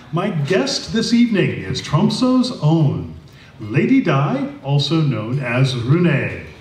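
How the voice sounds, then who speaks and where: An older man hosting an interview on a small stage, introducing his guest formally into a handheld mic. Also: medium pitch. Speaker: host. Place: small stage with audience, indoors. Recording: roomy, distant, faint noise.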